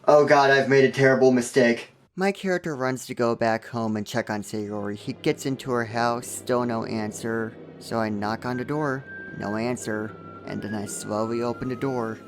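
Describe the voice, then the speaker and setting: A man's voice: medium pitch, steady tone, moderate pace, faint music behind. A man hosting a scripted video game review, narrating in a wry, joking, self-deprecating way with comedic asides.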